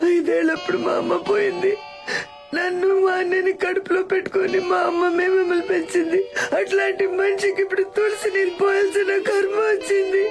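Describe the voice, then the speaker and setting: A grieving parent wailing in a comedy film. The crying voice is extremely high and stays on one droning note. Music plays behind the melodramatic lament. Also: male voice, completely flat delivery, fairly quick, gravelly. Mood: tearful, lamenting. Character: wailing, grieving parent mourning dying grandmother. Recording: film audio with music underneath.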